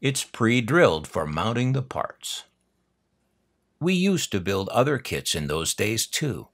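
An older man with an American accent narrates in a fairly low voice that rises and falls dramatically. His delivery is wry and nostalgic, like a prepared script for an unboxing video, with dry asides. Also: big pitch swings, slow, a bit bright. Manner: wry, dryly humorous. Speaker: host of a collector's unboxing channel.

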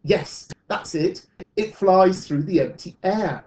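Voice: medium pitch, slow, darkish tone, pitched above the performer's own voice, close to an everyday voice. A storyteller voicing a confident, imaginative young girl in a storybook read aloud. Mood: thoughtful invention.

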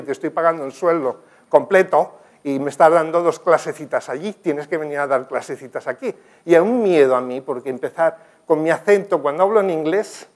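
An older man giving a talk with slides in a conference room, telling stories earnestly and gesturing as he speaks. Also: fairly high pitch. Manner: earnest, storytelling, animated gestures, some restarts. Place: conference room with projector screen.

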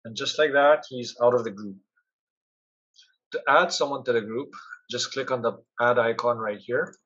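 A man with a slightly husky voice walks through a software demo. He explains things step by step in an instructional way at a medium pitch. The recording is dull and lo-fi. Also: moderate pace. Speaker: product demonstrator. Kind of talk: software tutorial narration.

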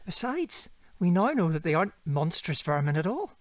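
A man playing an earnest, excitable young housemate in an audio drama. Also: sits high, normal speed, no room sound. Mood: pleased.

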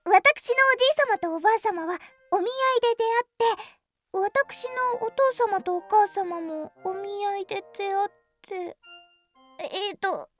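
Dreamy young girl empress, thirteen, very high voice, polite and eager, anime audio drama, music underneath. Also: a little slow. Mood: chatty, earnest. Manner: polite, earnest, girlish, excitable.

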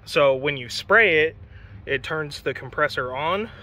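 Bright-voiced young man reviewing gear outdoors. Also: fairly high pitch, a little slow. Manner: casual, chatty, curses when excited. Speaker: car detailing vlogger. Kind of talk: casual product review. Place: outdoors in a driveway beside a car.

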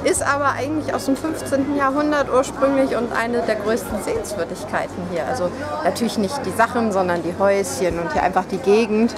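A woman's voice: a little high, steady tone, fairly quick. A woman narrating casually as a travel vlogger, recorded outdoors on a handheld camera. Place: outdoors on city streets and in a market. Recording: outdoor camera sound, music and street bustle. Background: loud music, crowd and street noise.

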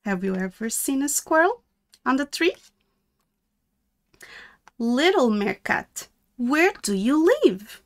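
Woman, children's read-aloud, sing-song intonation, very lively pitch, slow pace, storyteller. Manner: sing-song, deliberate, exaggerated intonation for young listeners. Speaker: storyteller reading a picture book aloud to children.

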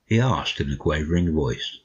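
Male audiobook narrator, deep and husky, expressive scripted reading, somewhat lo-fi sound. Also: normal speed.

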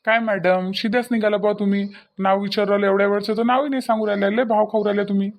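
A man's voice: high-pitched, steady tone, rapid-fire, soft background music. A man voicing a cocky, insistent young village loafer in a cartoon comedy, boastful and wheedling, with a Varhadi Marathi accent. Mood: wheedling, pestering. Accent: Varhadi Marathi from Vidarbha.

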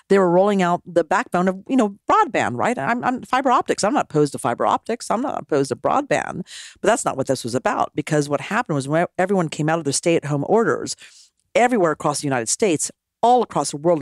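A woman, a former mayor, telling her story as a podcast guest in an animated way, often acting out what people said. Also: on the low side, no echo, clean studio sound. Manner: animated, acts out quoted dialogue. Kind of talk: storytelling conversation.

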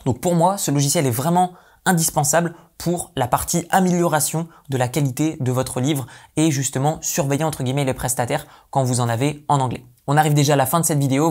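A man in his thirties giving a brisk, explanatory tutorial to camera, seated indoors in a dim room at home. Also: a little high, fairly even intonation, a little mellow. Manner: brisk, explanatory, repeats "et donc de ce fait". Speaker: online business coach.

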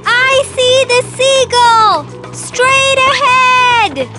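A bold little cartoon girl, extremely high in pitch and measured in pace. She explains things earnestly and emphatically, with music playing behind. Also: exaggerated voice. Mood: determined. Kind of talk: children's cartoon acting. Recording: loud background music.

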